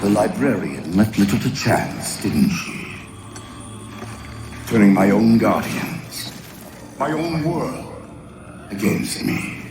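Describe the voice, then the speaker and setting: An actor playing an ancient, scornful alien warrior villain in a video game, speaking in a grandiose, theatrical, contemptuous manner. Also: male voice, lowish voice, expressive, slow, pauses often, distant mic, noisy background. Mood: cold contempt. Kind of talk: video game cutscene acting. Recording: roomy echo, orchestral music behind.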